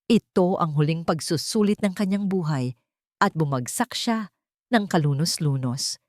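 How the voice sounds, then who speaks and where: A woman reading a scripted romance drama as narrator, in a measured, solemn storytelling manner. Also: on the low side, a little mellow, no echo, clean studio sound.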